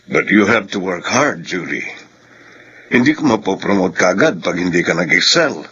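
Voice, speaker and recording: A firm corporate boss. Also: male voice, low-pitched, big pitch swings, moderate pace, pushed and bright, slightly rough, heavily acted. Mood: businesslike. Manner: firm, businesslike. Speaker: employer. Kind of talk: radio drama acting. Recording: dull lo-fi radio.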